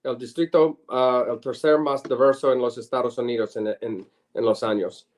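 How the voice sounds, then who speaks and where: Halting bilingual man, searching for words. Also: medium pitch, steady tone. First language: English. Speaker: lawyer and former state senator, guest. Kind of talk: bilingual interview answers.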